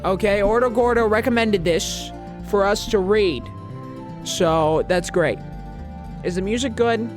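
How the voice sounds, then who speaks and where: A woman's voice: medium pitch, a little slow. Chatty streamer woman over game music. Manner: chatty, jokey, self-interrupting, laughs often. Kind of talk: casual live-stream chat and manga reading. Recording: background music under voice.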